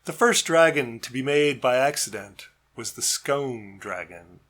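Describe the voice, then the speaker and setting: A man reading his own narrative poem as a storyteller, with measured, deliberate phrasing. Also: medium pitch, animated, fairly bright tone. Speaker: poet reading own work.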